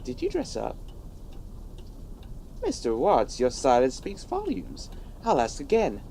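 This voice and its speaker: A man playing an earnest, nervous young monster-hunting sidekick in a comedy audio drama, expressive in delivery. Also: on the high side, slow pace, creaky, a little hiss or hum, full character voice. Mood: teasing, curious.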